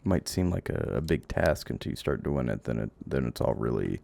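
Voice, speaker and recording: A man giving casual gameplay commentary, thinking aloud in a halting, rambling way and swearing now and then. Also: extremely low pitch, big pitch swings, creaky, mellow. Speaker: game streamer. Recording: audible background noise, clicks.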